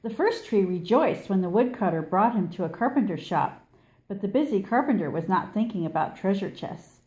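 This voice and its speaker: Mellow-voiced woman reading a storybook. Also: medium pitch, lively intonation. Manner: measured, dramatic pauses. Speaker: storybook reader. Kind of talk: expressive children's story read-aloud.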